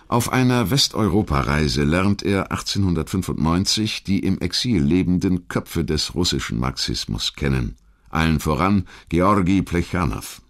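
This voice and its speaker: A man reading scripted biographical narration in a measured, formal storytelling manner. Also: low voice. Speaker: documentary narrator.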